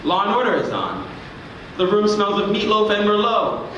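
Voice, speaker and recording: Guy with a high voice doing theatrical poetry, very deliberate, super echoey live recording. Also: very animated, sharp tone, far from the mic, dull audio. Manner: dramatic, deliberate delivery. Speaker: spoken word poet. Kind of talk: theatrical spoken word performance on stage. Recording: echoey, noisy room recording from afar.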